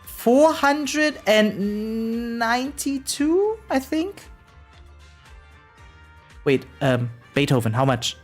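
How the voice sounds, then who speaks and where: A game streamer chatting casually with viewers, excitable and sweary, reacting aloud and reading bits of game text. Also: medium pitch, extremely slow, long pauses, faint music behind.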